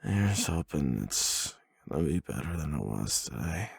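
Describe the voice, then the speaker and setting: Very deep, gravelly, slow-talking man. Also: big pitch swings, dry recording, clean studio sound. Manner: hushed, unhurried, intimate. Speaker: ASMR boyfriend roleplay performer. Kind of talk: soft bedtime reading and intimate ASMR roleplay.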